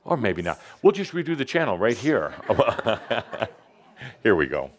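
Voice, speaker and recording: A man's voice: lowish voice, sing-song, slow. A middle-aged man co-hosting a live studio show in casual chat, joking and laughing often. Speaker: co-host.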